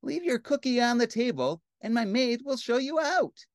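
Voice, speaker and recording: A man's voice: extremely high pitch, expressive, moderate pace, dry recording, studio quality. A man voicing a tiny, dainty, picky young princess in a storybook, fussy and demanding. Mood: haughty, pouting.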